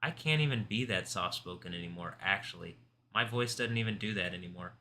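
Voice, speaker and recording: A man hosting a live stream, talking in an intense, rambling way, confrontational at times and soft-spoken at others. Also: deep voice, brisk, slight background noise. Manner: calls himself soft-spoken. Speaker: livestream host.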